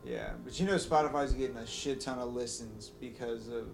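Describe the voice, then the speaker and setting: A man's voice: mid-range voice, a little fast, gravelly. A young man talking casually and animatedly as a podcast co-host, full of slang and swearing. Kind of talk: casual chat.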